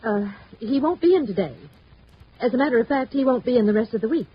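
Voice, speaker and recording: Husky, guarded receptionist. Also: female voice, on the high side, expressive, fast. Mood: guarded, polite. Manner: polite, hesitant, stonewalling. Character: guarded, evasive female office receptionist. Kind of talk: 1950s radio drama acting. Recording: dull old radio recording.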